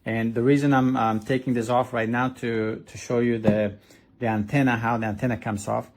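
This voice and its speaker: A man's voice: mid-range voice, flat delivery, moderate pace. A man reviewing a product in a casual, hands-on way, explaining step by step with some hesitation. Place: indoors at a desk.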